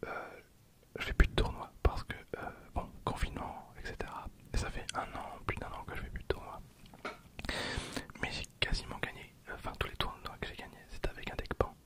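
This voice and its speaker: A man in his twenties whispering for an ASMR video, soft and hesitant with frequent fillers, speaking close to a recorder. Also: a little fast. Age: 20s. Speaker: ASMR creator. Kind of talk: whispered ASMR card opening. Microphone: handheld recorder behind the camera.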